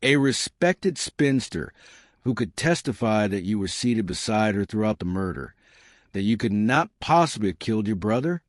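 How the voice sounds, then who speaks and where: A man's voice: on the low side, expressive, normal speed, dry recording, clean studio sound, natural voice. A man voicing a courteous little male detective in an audiobook mystery, formal, precise and authoritative. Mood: probing.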